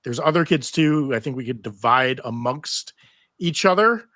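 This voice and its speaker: A man's voice: medium pitch, animated, normal speed, bright, forceful tone, no echo. A man on a casual panel, organizing a table read and reading stage directions aloud as narrator.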